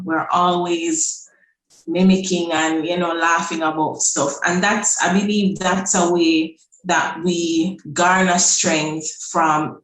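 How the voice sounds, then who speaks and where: A woman from Jamaica, a guest on a podcast, talking in an easygoing, storytelling way. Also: on the low side. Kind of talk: casual podcast conversation. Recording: echoey room.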